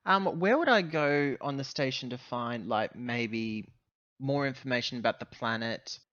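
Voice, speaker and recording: A man's voice: medium pitch, measured pace, fairly bright tone, dull audio. A man chatting casually as a player in a tabletop roleplaying game. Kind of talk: casual game chat and questions.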